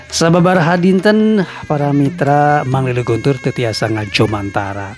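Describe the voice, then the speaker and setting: A male storyteller narrating a serialized folktale, who greets his listeners formally and tells the story in a slow, unhurried way. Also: fairly high pitch. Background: faint music.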